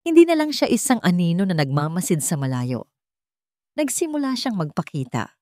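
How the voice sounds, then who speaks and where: Woman, slightly low voice, dramatic story narration, sentimental, deliberate phrasing, dry studio-like sound. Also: big pitch swings, darkish tone, no room sound.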